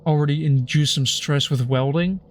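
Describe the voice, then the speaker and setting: A young man on a stream, explaining technical points in a dry, deadpan way with puns. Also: a little high, moderate pace, slight background noise. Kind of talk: casual chat while gaming.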